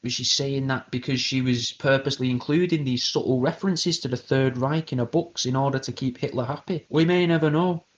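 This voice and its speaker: A British man hosting an internet radio show, delivering a crude, rambling comedic conspiracy monologue in a deadpan way. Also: mid-range voice, fairly quick, few pauses, muffled recording. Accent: British English.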